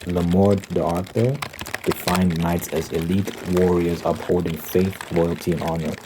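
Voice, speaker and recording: Male ASMR host, deep register, measured opinionated delivery, noisy recording with typing and crinkling. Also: little pitch movement. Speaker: host of an ASMR rambling channel. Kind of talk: ASMR ramble with reading aloud.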